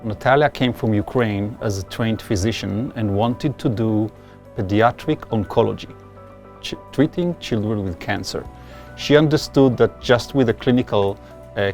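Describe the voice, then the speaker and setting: A man's voice: on the low side, darkish tone. A man speaking earnestly as a mentor in a documentary interview, giving emphatic advice. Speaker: physician-scientist mentor.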